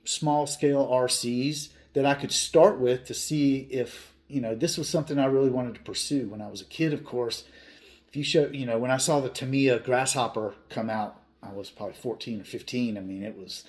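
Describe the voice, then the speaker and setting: A man in his fifties with a mid-pitched voice talks casually to his viewers as a hobby channel host. He is earnest and plain-spoken, and he repeats points for emphasis. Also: moderate pace, a little mellow. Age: 50s.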